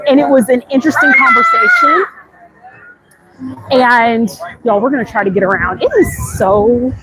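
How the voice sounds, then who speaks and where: A woman's voice: a little high, big pitch swings, moderate pace. An American woman hosting a casual, chatty walk-and-talk livestream, conversational and speaking directly to her viewers. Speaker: livestream host. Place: outdoors walking through a busy theme park. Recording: outdoor ambient noise. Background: busy theme park ambience.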